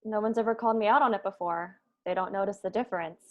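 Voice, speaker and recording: Woman acting smart chipper college girl, mid pitch, eager, comedy script read. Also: quick pace, highs cut off, lightly acted. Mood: earnest. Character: brainy, eager, popular young female college student.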